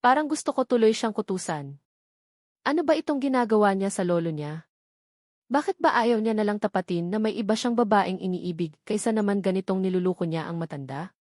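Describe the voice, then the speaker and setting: A woman's voice: medium pitch, fairly quick, a little mellow, no room sound, studio quality. A woman reading a scripted first-person novel as a story narrator. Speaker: audiobook narrator.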